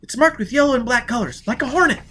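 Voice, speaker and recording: A man voicing an anxious young woman in a spacesuit, speaking high and fretful in an audiobook reading. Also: expressive, quick pace, bright, forceful tone, a little hiss or hum, exaggerated voice. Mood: agitated.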